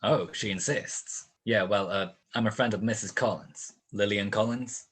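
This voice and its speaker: A man's voice: on the low side, moderate pace, fairly bright tone, slightly rough. A man playing a hard-boiled private detective in a noir radio play, sardonic and world-weary. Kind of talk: 1940s noir radio narration and dialogue.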